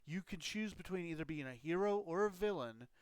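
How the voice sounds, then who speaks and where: Man, game streamer, slightly high voice, smooth tone, scratchy throat, dry close mic, rambling fillers. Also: expressive, brisk, dry recording. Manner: rambling, loose explanations with frequent fillers. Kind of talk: casual live-stream chat.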